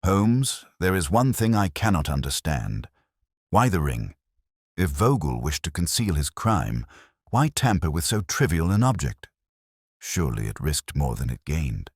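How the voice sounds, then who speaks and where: A man voicing an earnest, loyal gentleman who puts formal, puzzled questions to his detective friend. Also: extremely low pitch, big pitch swings, unhurried, husky, dry recording, clean studio sound, full character voice. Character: Doctor Watson, earnest male companion, puzzled and loyal.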